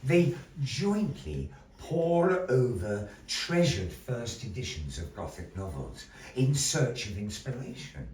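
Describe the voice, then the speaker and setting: A man's voice: mid-range voice, very animated, takes it slowly, hardly any pauses, darkish tone, a little hiss or hum. Theatrical male storyteller in an echoey gallery. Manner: theatrical, playful, talks to the audience between story parts. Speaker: puppeteer and storyteller. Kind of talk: live puppet show narration with audience chat. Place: gallery venue, live show. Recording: echoey room, mic at a distance.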